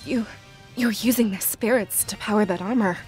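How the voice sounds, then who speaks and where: A woman's voice: medium pitch, normal speed, darkish tone, faint music behind, lightly acted. A woman playing a fiery young female beast companion in a fantasy video game. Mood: horrified.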